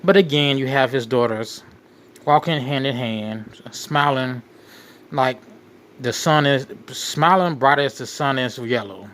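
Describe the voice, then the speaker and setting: A man hosting a crime commentary, reading out case details in a halting way and often repeating his phrases. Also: medium pitch, fairly bright tone, a little hiss or hum. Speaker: show host.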